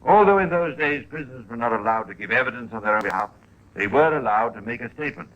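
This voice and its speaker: A man narrating a radio crime drama as host, in a measured, theatrical 1950s style with pointed emphasis. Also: mid-range voice, animated, muffled recording.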